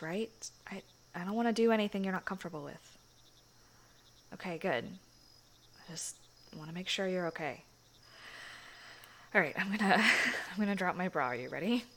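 A young woman voicing a shy, sweet churchgoing girlfriend in an intimate audio roleplay, speaking softly with hushed, hesitant phrasing and frequent giggles. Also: mid-range voice. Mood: nervous.

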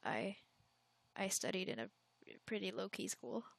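A young woman from the Philippines talks through listener questions in a chatty, unguarded way. Her mid-pitched voice carries a steady vocal fry, and she often pauses on fillers before finishing a thought. The recording is close and dry, with no room sound. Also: no room sound.

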